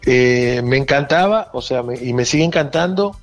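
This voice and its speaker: A man's voice: medium pitch, expressive, moderate pace, soft background music. A man from the Dominican Republic, a band pianist, talking casually in an interview with many restarts and fillers.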